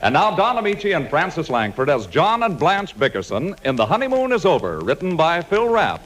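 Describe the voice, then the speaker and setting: A man's voice: fairly high pitch, wildly swooping pitch, normal speed, very noisy. A male announcer reading formal, mock-grave scripted narration in 1940s radio style. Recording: vintage 1940s radio with loud music. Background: music.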